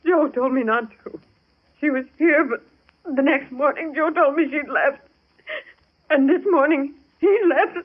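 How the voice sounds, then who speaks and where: A woman's voice: high-pitched, unhurried, pauses often. A woman playing a frightened, pleading, tearful mother in an old radio drama. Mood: terrified, pleading. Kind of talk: 1940s radio drama acting. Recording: thin, phone-like old radio recording.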